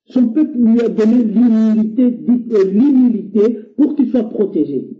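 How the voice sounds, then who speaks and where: A man's voice: high-pitched, a little slow. A man from Congo, not a native French speaker, earnestly exhorting listeners on a call-in show with frequent hesitations. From Democratic Republic of the Congo. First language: Lingala or another Congolese language. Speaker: guest speaker. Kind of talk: impassioned call-in talk. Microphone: internet call. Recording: tinny phone-like line.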